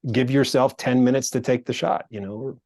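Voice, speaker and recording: A man's voice: medium pitch, steady tone, a little fast, darkish tone. A man co-hosting a podcast who also teaches shooting, talking in a laid-back, matter-of-fact way. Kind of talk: casual podcast chat.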